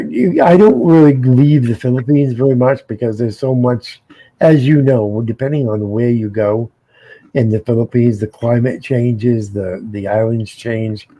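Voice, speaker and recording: A man's voice: mid-range voice, warm tone, no room sound, lo-fi sound. A middle-aged American man, co-hosting a casual panel chat, rambling and repeating his words. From United States. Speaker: co-host.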